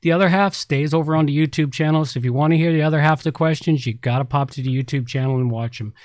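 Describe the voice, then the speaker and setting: A man's voice: on the high side, fast talker, dry recording, studio quality. A middle-aged man from Canada presenting a podcast as its host in an unscripted style.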